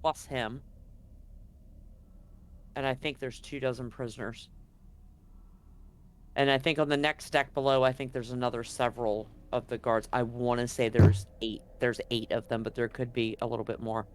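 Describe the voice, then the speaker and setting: A man's voice: mid-range voice, flat delivery, moderate pace, long pauses. Casual male game master over background noise. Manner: casual, conversational. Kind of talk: narrating a tabletop role-playing game.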